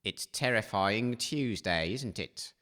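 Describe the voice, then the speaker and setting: A grumbling, shifty railway station master acted by a man for comedy radio drama. The voice sits very low and stays quiet, muttering gruffly and crudely like an underpaid worker. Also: lively intonation, slow. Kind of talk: comedy audio drama acting.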